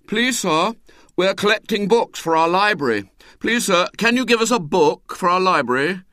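A man voicing a bold, polite schoolboy asking firmly and brightly. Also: high voice, lively intonation, normal speed, no echo, studio quality, pitched above the performer's own voice. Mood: earnest. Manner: firm, bright.

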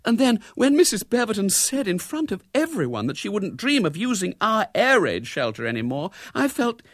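Man putting on a high, fretful housewife. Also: wildly swooping pitch, normal speed, no room sound, clean studio sound. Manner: groaning, plaintive. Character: anxious, fretful middle-class wife and mother, moaning.

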